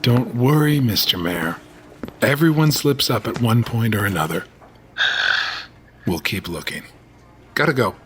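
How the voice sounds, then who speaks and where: An actor playing a shifty, guarded police officer in an audio drama, terse and evasive. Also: male voice, mid-range voice, expressive, measured pace, pauses often, a bit bright, slight background noise. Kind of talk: naturalistic audio drama dialogue.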